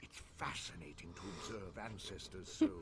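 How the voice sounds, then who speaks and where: An actor playing a scholarly, impatient fantasy-game mage who muses pedantically and talks down to people. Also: male voice, deep voice, sing-song, moderate pace, heavy background noise. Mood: musing. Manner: pedantic, musing, condescending. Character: scholarly elf mage, fascinated by spirits, impatient. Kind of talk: video game character acting.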